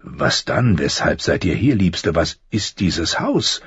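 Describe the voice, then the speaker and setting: A grave, stern nobleman in a fantasy audiobook , voiced by a man. His voice is deep and very husky. He questions gravely, in a slightly dull recording. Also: sing-song, takes it slowly, a bit bright, dry recording, exaggerated voice. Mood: worried. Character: stern noble lord, bewildered husband, quick to anger.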